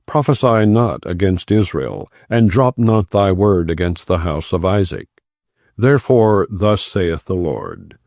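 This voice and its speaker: Male audiobook narrator for scripture: low register, solemn and measured delivery, very dry close sound. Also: vocal fry, warm tone, no echo. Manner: measured, declamatory. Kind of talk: solemn scripture reading, non-dramatized.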